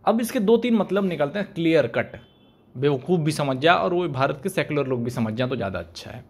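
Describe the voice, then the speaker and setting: A man's voice: a little high, vocal fry, husky. A young man talking to camera as a video commentator, arguing pointedly and asking rhetorical questions, recorded with a desk microphone in a small room. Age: young adult. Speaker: YouTube commentator. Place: small indoor room, plain wall. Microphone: desk microphone in front.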